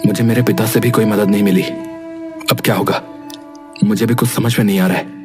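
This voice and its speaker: A man's voice: fairly low pitch, fairly even intonation, fairly quick, close to an everyday voice. A man voicing a wounded, indignant young long-lost twin in an emotional story drama with music behind. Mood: worried.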